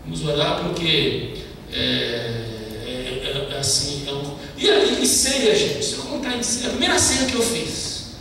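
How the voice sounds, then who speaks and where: A man preaching a lively testimony sermon, telling stories and mimicking broken speech. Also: on the high side, measured pace, creaky. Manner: animated storytelling, mimics broken Portuguese. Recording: echoey hall, distant mic, background noise.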